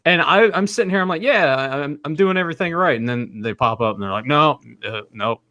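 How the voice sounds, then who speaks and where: A man's voice: on the high side, animated, brisk, bright, forceful tone, dry recording. A man hosting a casual podcast chat, with a joking, teasing manner. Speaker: podcast host.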